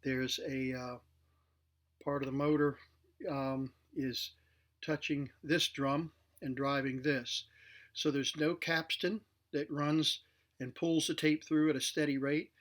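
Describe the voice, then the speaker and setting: A man with a voice a little higher than average gives a casual, unscripted demonstration. He explains things plainly and somewhat hesitantly, as a hobbyist presenter showing equipment would. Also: steady tone, slow, a bit bright. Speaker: vintage tech demonstrator.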